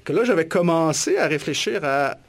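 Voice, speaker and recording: A man's voice: on the high side, animated, moderate pace. A man with a Quebec French accent, an author and academic being interviewed, talking thoughtfully and often restarting his sentences. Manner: thoughtful, many restarts and hesitations. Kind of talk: reflective interview talk.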